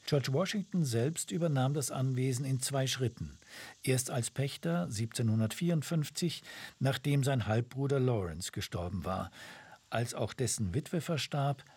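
A man reading scripted documentary narration in an even, measured way. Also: medium pitch, breathy edge.

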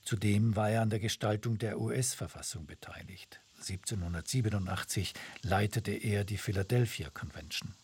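A man reading scripted documentary narration in an even, measured way. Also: on the low side, slightly rough.

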